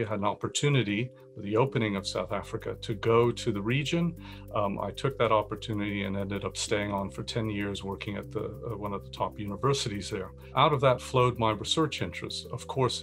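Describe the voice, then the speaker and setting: A man, a professor, explaining his research in a steady, explanatory way with some restarts. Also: fairly low pitch. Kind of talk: interview-style talk about research.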